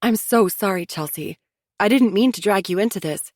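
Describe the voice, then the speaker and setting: A woman's voice: fairly low pitch, moderate pace, no echo. A woman voicing a wary young widow who chooses her words carefully and answers with a dry tone. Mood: cautious. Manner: dry, cautious. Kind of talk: audiobook character voice.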